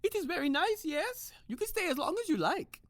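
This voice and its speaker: A woman's voice: very high-pitched, sing-song, fairly quick. A woman playing an effusive, kindly European man who teaches martial arts in an audio drama, with slightly quirky phrasing. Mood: warm, eager. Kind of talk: audio drama character acting.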